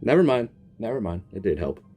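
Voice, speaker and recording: A man gives casual gaming commentary in a lowish, dark-toned voice that swings expressively. He is informal and drops muttered asides, with faint game music behind him. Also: big pitch swings, brisk. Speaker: gaming content creator.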